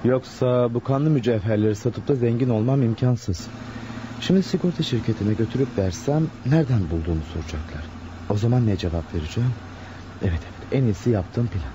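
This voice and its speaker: A man's voice: fairly low pitch, close to an everyday voice. A man acting a nervous, scheming insurance clerk in a radio drama, hesitant and muttering. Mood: scheming, anxious. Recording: muffled, noisy radio drama recording.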